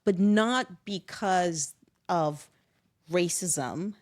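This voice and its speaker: A middle-aged woman speaking reflectively and personally in conversation, with animated, expressive asides. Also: lowish voice, drawn-out words. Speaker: guest.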